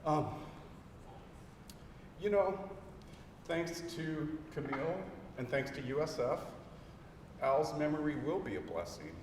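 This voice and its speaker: A man speaking as a bereaved husband at a memorial lecture, hesitant and emotional, with many pauses and fillers. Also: a little high, lively intonation. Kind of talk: halting heartfelt remarks. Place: lecture hall. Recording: echoey hall from far off with noise.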